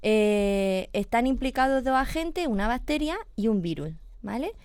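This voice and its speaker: A woman's voice: a little high, lively intonation, measured pace, darkish tone, clean tone, no echo. A woman, a veterinarian explaining pet illnesses patiently on a radio chat, checking in with her listener as she goes. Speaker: veterinarian guest.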